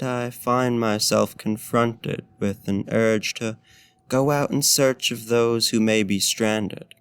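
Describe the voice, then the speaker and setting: Male actor as seductive vampire host, very slow, creaky voice, formal archaic courtesy, gentle menace, intimate ASMR roleplay. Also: dry recording. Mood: polite, inviting. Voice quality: low, gravelly.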